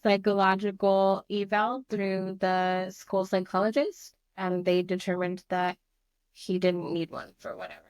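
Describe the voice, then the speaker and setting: Earnest mother with a naturally loud voice. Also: mid-range voice, level tone, clear, resonant tone, no room sound. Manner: earnest, open, halting. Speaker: mother of a teen on a family counseling show. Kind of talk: candid conversation.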